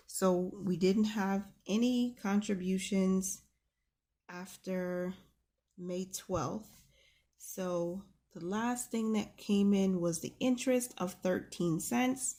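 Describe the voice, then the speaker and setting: A woman's voice: medium pitch, darkish tone. A woman with a New York accent, chatting to viewers in an upbeat way as she goes through her savings planner at her desk. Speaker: personal finance vlogger. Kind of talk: casual walkthrough of a savings planner. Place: desk at home, hands writing in a planner.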